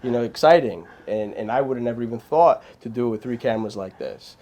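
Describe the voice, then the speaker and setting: A man, a filmmaker guest in a casual interview, speaking in an animated, rambling way with frequent restarts. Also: mid-range voice, quick pace.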